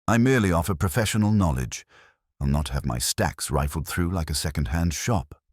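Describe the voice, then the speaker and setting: Give me a man playing an austere, easily affronted lady librarian, deep and lively, for a mystery story. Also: brisk, slightly rough, dry recording, studio quality, exaggerated voice. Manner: precise, prim.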